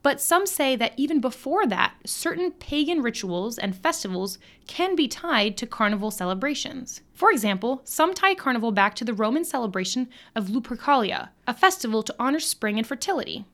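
A woman's voice: on the high side, big pitch swings, fairly quick, hardly any pauses, fairly bright tone. Upbeat American woman co-hosting. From United States. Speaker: podcast co-host. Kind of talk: scripted podcast narration.

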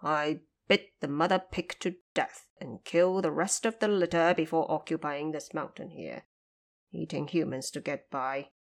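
A woman's voice: deep voice, fairly even intonation, normal speed, voice pushed down. A woman voicing a male pig monster, a reckless brute who turns humble and confessional, in an audio drama story.

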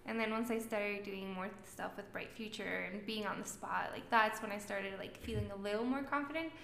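A woman's voice: medium pitch, fairly quick, gravelly, fairly bright tone, slight background noise. A young woman with a Mexican accent, chatting casually as a social worker guest who says "like" a lot. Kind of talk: casual podcast chat. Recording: echoey room.

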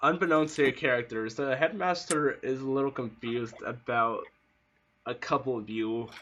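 A man's voice: medium pitch, moderate pace, sharp tone, muffled recording, slight background noise. A man running a tabletop game as game master, explaining rules and narrating at length. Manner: explanatory, patient, talks through interruptions.

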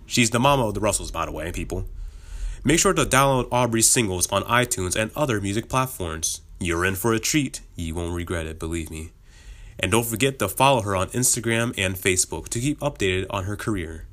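A cheerful male podcast host winding down an episode with a friendly, rambling ramble of thanks and shout-outs. His voice sits somewhat low and carries a slight sick heaviness. He laughs often between lines. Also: lively intonation, fairly quick. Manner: upbeat, chatty, laughs often. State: slightly sick, voice a bit lower. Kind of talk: casual podcast outro.

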